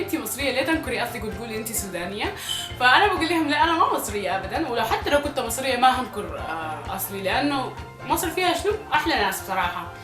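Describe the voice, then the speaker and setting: A young Sudanese woman vlogging casually to her viewers, speaking with a Sudanese Arabic accent with some Egyptian traces and a slightly hoarse voice, often repeating phrases for emphasis. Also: fairly high pitch, echoey room. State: slightly hoarse from recent illness. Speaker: YouTuber. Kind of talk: casual vlog talk to viewers.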